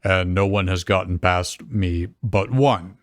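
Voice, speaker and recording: A man performs a giant guardian of ice in a comic story reading. The monster speaks slowly and solemnly, with formal loyalty and a deep, strained tone. The sound is close and dry. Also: no echo. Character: huge slow booming male ice guardian monster, loyal servant.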